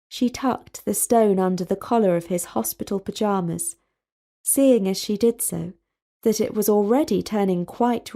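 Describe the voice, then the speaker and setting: A woman reading a scripted story as its narrator, in a measured, expressive way. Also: mid-range voice.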